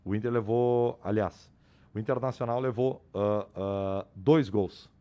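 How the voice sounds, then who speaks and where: Guy losing his voice, talking football news, low and mellow, explains stuff patiently. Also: fairly even intonation, a little slow. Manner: explanatory, restarts and repeats names. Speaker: sports commentator. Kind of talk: radio sports news report.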